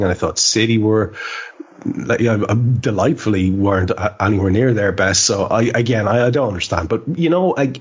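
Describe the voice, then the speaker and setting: A man's voice: on the low side, slightly rough. A man with an Irish accent hosting a casual football podcast, wordy and meandering, mixing swearing with ornate phrasing. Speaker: podcast host. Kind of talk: casual chat about football. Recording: dull, lo-fi podcast audio.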